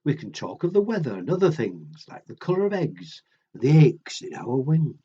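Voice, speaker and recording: A man's voice: mid-range voice, big pitch swings, normal speed, warm tone, slightly rough, highs cut off. Man as a friendly little bird, singsong and lilting. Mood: friendly, chatty. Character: small friendly birds eagerly inviting a companion.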